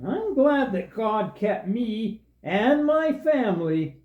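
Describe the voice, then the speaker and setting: Woman voicing a slow-talking crocodile puppet. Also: fairly high pitch, big pitch swings. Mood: earnest. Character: slow, naive, timid but friendly crocodile puppet. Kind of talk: children's puppet show dialogue. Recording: dull, echoey room recording.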